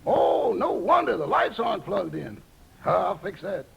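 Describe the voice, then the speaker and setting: A man playing a cheeky, deferential yet frank household valet in 1940s radio comedy, with a gravelly, raspy voice. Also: sits high, very animated, unhurried, a bit bright, faint music behind, full character voice. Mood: frank, helpful. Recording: noisy vintage radio broadcast recording.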